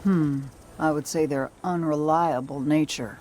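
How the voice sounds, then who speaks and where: Dry, wry bounty hunter. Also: female voice, sits low, steady tone, unhurried, darkish tone. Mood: dry, puzzled. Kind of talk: video game character acting.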